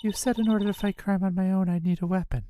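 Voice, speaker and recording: A woman's voice: medium pitch, fairly even intonation, fast talker, dark tone, a little hiss or hum, close to an everyday voice. A woman voicing a composed, earnest female chief prosecutor in a fan dub of a video game. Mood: earnest, persuading. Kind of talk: fan voice acting of a visual novel game.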